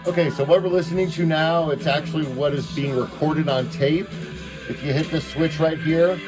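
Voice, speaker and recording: A man's voice: fairly high pitch, steady tone, normal speed. A man giving a casual hands-on product demonstration, explaining step by step and thinking aloud. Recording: music playing in the background.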